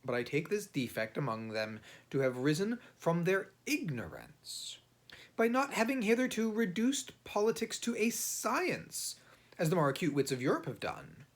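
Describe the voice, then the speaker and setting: Male audiobook reader, literary narration, theatrical, emphatic, highly animated intonation, dramatic pauses. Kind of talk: expressive literary narration, reading a classic satirical novel.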